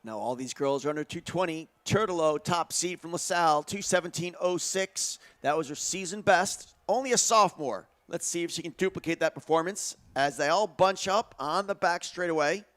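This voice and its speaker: Live track commentator: man with a higher-set voice, conversational delivery that builds with the race. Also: moderate pace, few pauses, a bit bright.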